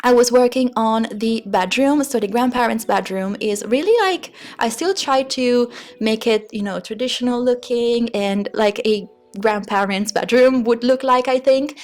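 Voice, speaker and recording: A woman's voice: a little high, moderate pace. A woman with an Italian accent and Italian as her first language gives a rambling, hesitant voice-over for a game build video, often searching for words. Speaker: Sims speed build creator. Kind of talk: casual voice-over commentary. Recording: voice-over with faint music bed. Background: soft background music.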